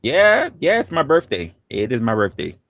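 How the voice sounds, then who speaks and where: A man in his forties hosting a casual live stream chat. He is relaxed, laughs often and repeats himself as he talks. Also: mid-range voice, normal speed, dry recording, clean studio sound. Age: 40s. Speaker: host.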